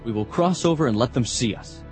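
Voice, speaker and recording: An actor playing a confident, devout young warrior prince with an earnest, resolute manner in a dramatized scripture reading. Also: male voice, mid-range voice, lively intonation, fairly quick, over music, close to an everyday voice. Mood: resolute, confiding. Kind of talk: dramatized audio Bible acting.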